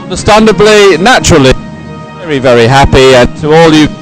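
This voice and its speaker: A man presenting a live sports broadcast, ad-libbing commentary in a halting, hesitant way. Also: fairly high pitch, expressive, soft background music, poor audio. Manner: hesitant, halting ad-libbed delivery. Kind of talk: live unscripted event commentary.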